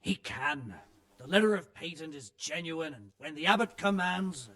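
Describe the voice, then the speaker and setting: An actor playing a suspicious, officious medieval official in a video game, speaking in a dry, measured, sceptical way. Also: male voice, fairly high pitch, expressive, bright, forceful tone. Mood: wary, grudging. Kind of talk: video game cutscene acting.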